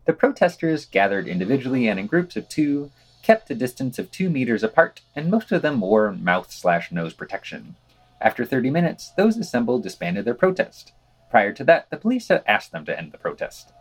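A man reads news for a podcast from a script. His voice sits at a middle pitch and swings in a lively way, with dry, wry commentary slipped in between the quotations. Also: normal speed. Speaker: podcast co-host reading news.

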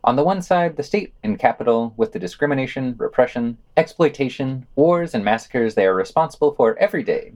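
A man's voice: medium pitch, animated, fairly quick, few pauses, darkish tone. A man reading scripted podcast news, adding short asides as he goes.

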